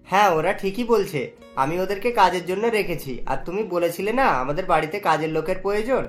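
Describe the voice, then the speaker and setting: A woman's voice: on the low side, expressive, fairly quick, lightly acted. A woman voicing a stern but kindly male householder in a cartoon story, with a firm, questioning manner. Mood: firm, relenting. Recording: music bed under the voice.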